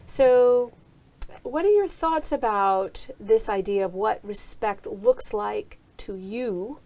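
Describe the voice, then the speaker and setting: A woman's voice: mid-range voice, slow, warm tone. Woman interviewer asking reflective questions. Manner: reflective, asks follow-up questions. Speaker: host. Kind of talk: conversational interview. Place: university studio.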